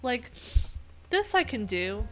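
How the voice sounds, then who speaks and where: A woman's voice: a little high, big pitch swings, slow pace, a bit bright, faint music behind. A woman live streaming, chatting informally and thinking aloud in a rambling, unfiltered way. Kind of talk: casual live tech stream commentary.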